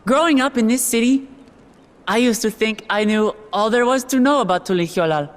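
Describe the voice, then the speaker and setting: A woman voicing an earnest young princess and hopeful heir, giving a measured, sincere speech in a video game cutscene. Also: on the high side, natural voice. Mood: earnest, reflective.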